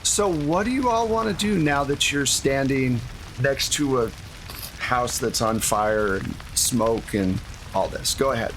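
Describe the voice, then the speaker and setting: A man's voice: medium pitch, a little slow, fairly bright tone, slight background noise, low-quality recording. A man running a tabletop game, improvising narration and chatting casually and jokily with players. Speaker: game master.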